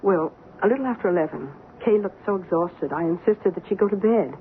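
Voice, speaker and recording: A woman's voice: on the low side, fairly quick, natural voice. An actress playing a sensible woman who recounts her housemate's eerie obsession in an earnest, suspenseful radio drama style. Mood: suspenseful recounting. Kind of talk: old-time radio drama acting. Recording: noisy, narrow-band old radio recording.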